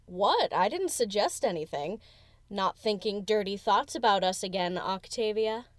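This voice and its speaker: A cocky DJ mare played by a woman, brash and always teasing. Her mid-pitched voice bounces around freely, casual and slangy, recorded close and dry for a cartoon pony audio drama. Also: expressive, a little slow, dry recording, lightly acted. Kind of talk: fan audio drama character acting.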